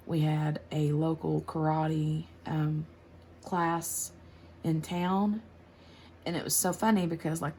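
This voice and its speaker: A woman's voice: sits low, creaky, mellow, slight background noise. An American woman in her forties, chatting casually and rambling a little while she works on a craft project and answers tag questions. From United States. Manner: rambling, chatty, laughs easily. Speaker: craft channel host.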